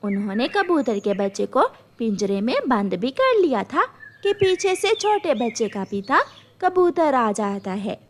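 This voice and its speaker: A woman's voice: a little high, sing-song, a little slow, slight background noise. A woman storyteller reading scripted narration for a children's cartoon story.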